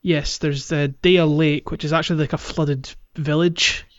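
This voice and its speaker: A man's voice: a little high, fairly even intonation, measured pace, no room sound. Man chatting in a dull, dry recording. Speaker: podcast co-host. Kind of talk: casual game-walkthrough podcast chat.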